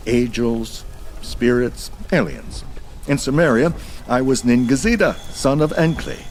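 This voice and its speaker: An actor playing an ancient godlike being in an audio drama, amused and urbane, with a theatrical, erudite and wryly polite manner. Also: male voice, medium pitch, slight background noise. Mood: wry, reminiscing.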